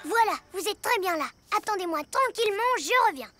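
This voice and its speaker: A woman's voice: very high-pitched, lively intonation, fairly quick. A woman voicing an eager, good-hearted little boy superhero in a children's cartoon, playful and earnest. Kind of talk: French children's cartoon dubbing. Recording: loud music behind voice.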